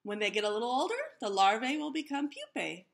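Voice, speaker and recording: Animated woman reading a children's storybook aloud. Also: a little high, gravelly. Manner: animated, pauses to question and explain to young listeners.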